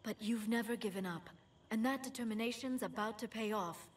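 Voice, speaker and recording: A woman playing a thoughtful, sympathetic alien scholar in a video game, speaking in a measured way. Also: fairly high pitch, close to an everyday voice. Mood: gentle, wry. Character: gentle, thoughtful female alien scholar and ally. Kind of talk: video game cutscene acting. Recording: slightly distant game capture.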